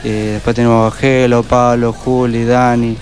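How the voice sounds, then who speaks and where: Male band guest, Argentine accent, casual shout-out chat, mid pitch, light music underneath. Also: level tone, moderate pace. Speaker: band member, interview guest.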